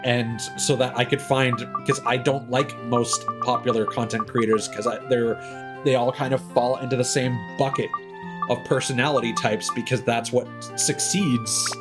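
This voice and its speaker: Male game streamer, medium pitch, rambling sardonic opinions, casual delivery over clear background music. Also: fairly even intonation, moderate pace. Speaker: game livestreamer.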